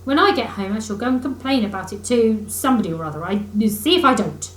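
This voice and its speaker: A woman's voice: fairly high pitch, animated, quick pace, faint music behind, close to an everyday voice. Woman playing a plaintive, grumbling storybook mole. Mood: pettish, scornful. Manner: pettish, plaintive, complaining. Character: grumbling, literal-minded, exasperated male mole, an animal. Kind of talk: children's storybook character voice. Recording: roomy echo, faint background noise.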